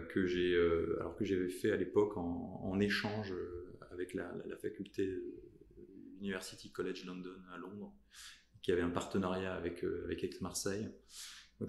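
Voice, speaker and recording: A man in his thirties from southern France, a lawyer telling the story of his career in a podcast interview, pausing often with fillers and restarts. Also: low voice, gravelly, a little mellow, echoey room, slight background noise. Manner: halting, many fillers and restarts. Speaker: lawyer guest.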